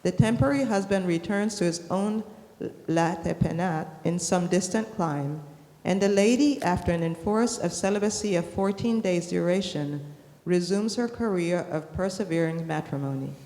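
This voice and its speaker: Woman, reading aloud to a class, low voice, roomy reverberant hall. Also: moderate pace, dark tone. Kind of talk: reading a historical book aloud. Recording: echoey hall, long reverb.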